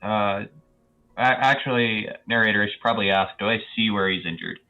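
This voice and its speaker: A man's voice: on the low side, brisk, bright, forceful tone. A man playing a tabletop roleplaying game, chatting casually and tentatively, on a dull lo-fi recording.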